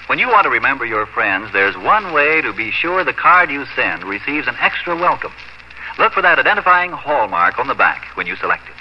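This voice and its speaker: A man's voice: mid-range voice, a little hiss or hum. An American man working as a radio announcer, delivering polished, emphatic 1950s program introductions and sponsor copy. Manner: emphatic, declamatory. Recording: dull vintage radio recording with music.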